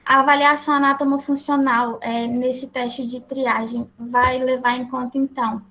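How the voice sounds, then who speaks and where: A woman with a Brazilian accent presenting slides as a lecturer, explaining in a halting way. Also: sits high, flat delivery, bright, forceful tone, poor audio. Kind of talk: slide presentation to health professionals. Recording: clear background noise.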